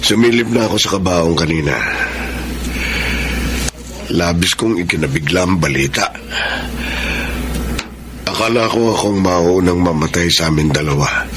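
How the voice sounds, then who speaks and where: A man's voice: low voice, background noise. A man acting an older, apologetic and evasive godfather in a Tagalog radio drama.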